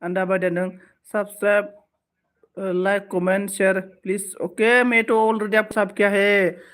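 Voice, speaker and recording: High-pitched man hosting a chatty live stream. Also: flat delivery, measured pace, fairly bright tone. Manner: switches between Bodo, Hindi and English phrases; repetitive thanks and requests for support. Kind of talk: casual live stream chat with viewers.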